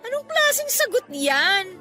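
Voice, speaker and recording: A woman's voice: very high-pitched, very animated, measured pace, sharp tone, faint music behind, heavily acted. A woman playing a playful, chatty young businesswoman meeting an old flame in an audio drama, with a teasing, exclaiming manner. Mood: coy teasing. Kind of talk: Filipino audio drama acting.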